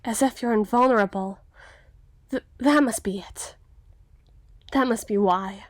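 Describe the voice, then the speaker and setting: A woman's voice: medium pitch, measured pace, no room sound, studio quality, close to an everyday voice. A woman playing a young, melancholy, self-doubting storybook damsel in an ASMR fantasy roleplay, with theatrical, hesitant, old-fashioned formal phrasing. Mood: wary, discouraging.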